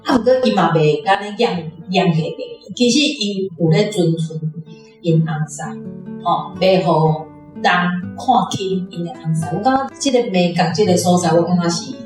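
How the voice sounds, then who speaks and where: A woman from Taiwan, president of a reading club, sharing her impressions in a measured, halting way. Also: lowish voice, over music. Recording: distant, very echoey room.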